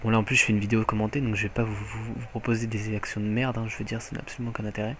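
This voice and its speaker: A young man with a French accent giving live commentary on his own online match from home, excitable and jokey, swearing often. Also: fairly low pitch, very fast, slight background noise. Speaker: gaming commentator. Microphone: headset mic.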